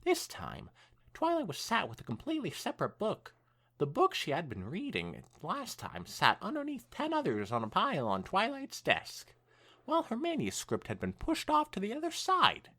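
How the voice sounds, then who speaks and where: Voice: mid-range voice, wildly swooping pitch, moderate pace, bright, forceful tone. A podcast co-host reading fan fiction aloud as narrator, with casual asides. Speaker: podcast co-host and story reader.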